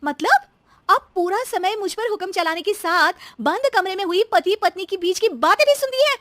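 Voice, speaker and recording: Woman playing a shrill, dramatic young daughter-in-law. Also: lively intonation, normal speed, a bit bright, dry recording. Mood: indignant. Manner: dramatic, grumbling. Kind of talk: animated story dialogue.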